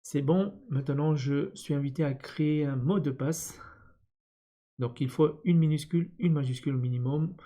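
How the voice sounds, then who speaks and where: A man's voice: fairly high pitch, moderate pace, dark tone. A man presenting an unscripted step-by-step screen tutorial, thinking aloud hesitantly as he goes. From born in Vietnam. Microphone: computer screen-recording voice-over.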